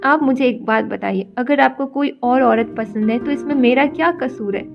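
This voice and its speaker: An actress voicing a patient, hurt young wife in an animated story, talking emotionally and pleadingly. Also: female voice, fairly high pitch, normal speed, creaky, lo-fi sound. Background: background music.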